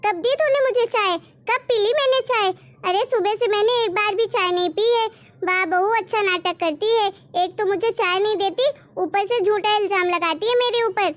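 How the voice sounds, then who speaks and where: Stubborn old grandmother, shrill and accusing, played by a woman; very high, piercing pitch. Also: normal speed, no echo, lo-fi sound. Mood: complaining. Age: over 60. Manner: shrill, accusing, whiny demands.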